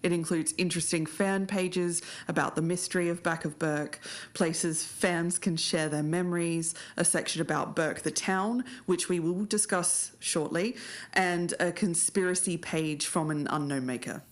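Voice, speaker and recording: Low-voiced Australian woman hosting a podcast. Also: fairly even intonation, unhurried. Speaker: podcast co-host. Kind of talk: podcast presenting.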